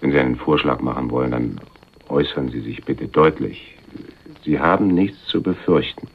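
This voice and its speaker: Casting: coolly threatening male crime-drama client, deliberate and dry, gravelly, husky voice. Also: a bass voice, exaggerated voice. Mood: dry, guarded. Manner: formal, deliberate, drily sardonic. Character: menacing, coolly businesslike man weighing hiring a killer. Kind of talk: 1980s German radio crime drama. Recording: dull, muffled radio recording.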